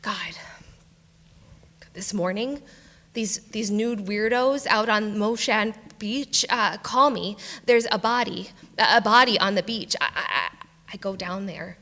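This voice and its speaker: A flustered, bright-voiced weary sheriff. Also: female voice, mid-range voice. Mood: exasperated, self-pitying, then shaken. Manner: halting, stammering, exasperated. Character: flustered, weary, foul-mouthed small-island female sheriff. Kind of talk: live staged play reading. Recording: echoey theatre hall, live stage.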